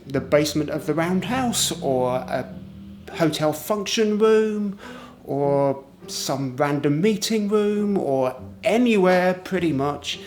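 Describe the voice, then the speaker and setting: Higher-pitched man explaining in interview. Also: a little mellow. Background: instrumental music.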